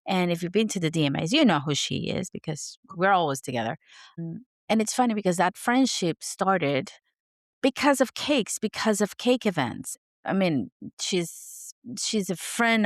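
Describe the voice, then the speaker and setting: A raspy, hoarse woman with a sore throat hosting her podcast in an unscripted, rambling chat, laughing at herself. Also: lowish voice, no echo, clean studio sound. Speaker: podcast host.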